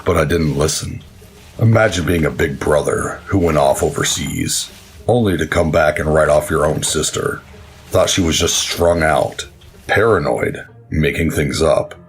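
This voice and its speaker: An actor playing a somber, guilt-ridden older brother and military veteran in a gritty crime audio drama. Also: male voice, very deep voice, unhurried.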